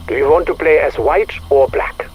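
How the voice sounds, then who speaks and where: An actor playing a patient but suspicious scientist in a video game, formal and measured. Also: male voice, on the high side, highs cut off, faint music behind, lightly acted. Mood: polite, patient. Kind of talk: video game audio log acting.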